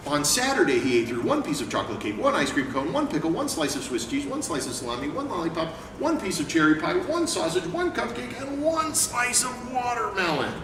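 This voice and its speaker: A man presenting a picture book read-aloud and talking informally as an educator, with an expressive delivery. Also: sits high, a little fast, hardly any pauses. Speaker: educator and book company owner reading aloud. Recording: echoey room, distant mic, clear background noise.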